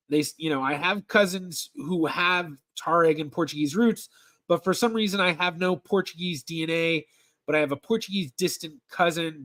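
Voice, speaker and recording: Male host, casual stream Q&A, slightly higher voice, halting delivery full of fillers and restarts. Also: a bit bright. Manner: halting, many ums and restarts, reads questions aloud. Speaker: genealogist host answering viewer questions. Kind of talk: casual livestream Q&A.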